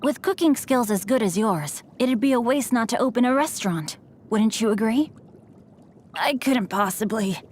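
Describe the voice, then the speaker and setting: A woman's voice: on the high side, expressive, moderate pace, natural voice. Woman playing polite, playful young scholar-knight for game lines, faint noise behind. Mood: polite, composed. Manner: polite, formal and gently teasing. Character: refined young male swordsman scholar, courteous, playful.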